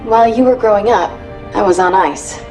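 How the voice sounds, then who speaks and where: An actress playing an earnest young superpowered woman who speaks with eager warmth. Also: mid-range voice, brisk, lightly acted, poor audio. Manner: eager, heartfelt. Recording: game cutscene with music.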